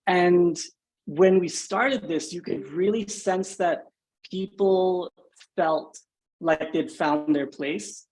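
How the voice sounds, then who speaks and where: A man from Singapore, in his thirties, talks as a podcast guest in a fairly high voice. He speaks thoughtfully and keeps adding "you know" and "right?" as he goes. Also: a little mellow, poor audio. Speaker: guest, agency founder. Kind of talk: podcast interview.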